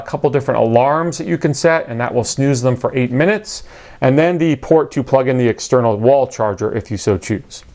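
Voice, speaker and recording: A man with a mid-range voice gives an informal product walkthrough. He speaks in a conversational, matter-of-fact way, explaining as he goes. The delivery is unscripted and plain. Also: mid-range voice. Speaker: product reviewer. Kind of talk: casual unscripted product review narration.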